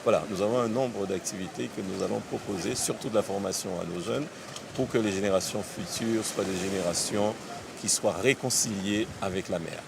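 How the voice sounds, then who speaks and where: A man's voice: lowish voice, brisk, creaky, background noise. A man giving an official's interview statement, emphatic, building lists with repeated phrases. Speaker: local elected official. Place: busy public event. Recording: loud crowd chatter behind voice.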